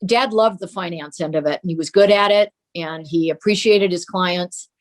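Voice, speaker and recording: An older woman with an American accent reminiscing as an interview guest, reflective, pausing and repeating words for emphasis. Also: lowish voice. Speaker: interview guest, daughter of a radio and TV performer.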